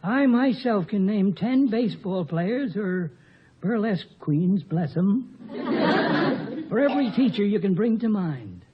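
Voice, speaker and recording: A man's voice: high voice, expressive, slow, slight background noise. An actor playing a blunt, folksy, cheerful professor in his seventies in a 1950 radio comedy. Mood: blunt, wry. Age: 70s. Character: seventy-year-old outspoken, cheerful, tobacco-chewing male professor. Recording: muffled old radio recording.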